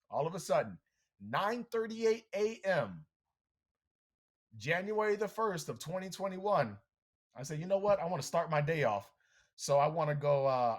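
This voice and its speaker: A man who is a guest on a podcast, giving an energetic motivational talk full of storytelling and speaking straight to the listeners. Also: high-pitched. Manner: animated, energetic, addresses listeners directly.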